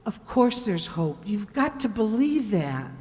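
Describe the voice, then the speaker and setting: Actress as female sidekick, inquisitive, excitable, very swooping pitch, radio mystery, reverberant hall. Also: unhurried. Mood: concerned. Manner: questioning, dramatic. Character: female detective's assistant, inquisitive, loyal, excitable. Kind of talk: old-time radio detective drama acting. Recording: reverberant hall, stage-like sound.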